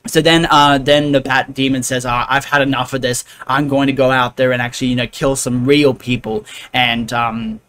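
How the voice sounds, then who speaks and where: A man talks through an anime episode in a loose, unscripted recap, stopping often on fillers and restarting sentences. His pitch sits in the middle and barely moves, so the delivery stays flat and even throughout. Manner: rambling, many fillers and restarts. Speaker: anime reviewer.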